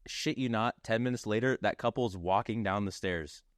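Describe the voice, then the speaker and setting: A man's voice: fairly low pitch, moderate pace, dry recording, clean studio sound. A man chatting and telling stories casually as a podcast co-host, full of slang and swearing. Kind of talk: casual chat and storytelling.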